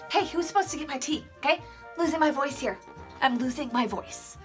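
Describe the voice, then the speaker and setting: A woman's voice: high-pitched, a little fast, soft background music, pitched above the performer's own voice, close to an everyday voice. A woman voicing a bossy, demanding female star who orders her crew around in a comedy sketch.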